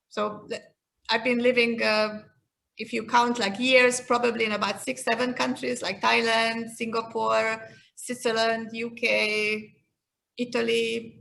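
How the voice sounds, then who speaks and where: A woman's voice: a little high, gravelly, a bit bright, poor audio. A woman in her fifties from Hungary with a Hungarian accent, answering interview questions in a reflective, conversational way. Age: 50s. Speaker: interview guest, leadership expert.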